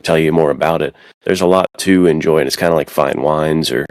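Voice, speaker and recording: A man's voice: rumbling low, fairly even intonation, brisk, dry recording, clean studio sound. A man co-hosting a podcast, chatting casually with informal, conversational phrasing. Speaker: podcast co-host.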